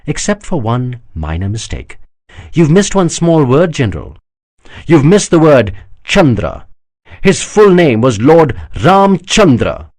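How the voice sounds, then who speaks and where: A man reading an audiobook, voicing a sharp-witted proud woman who teases and mocks gently. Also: mid-range voice, very animated, moderate pace, pitched above the performer's own voice. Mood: teasing. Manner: teasing, gently mocking. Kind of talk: audiobook character voice.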